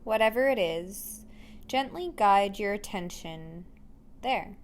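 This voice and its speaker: A woman instructor leading a guided meditation in a gentle, unhurried way with pauses between phrases. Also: mid-range voice, expressive, a little hiss or hum.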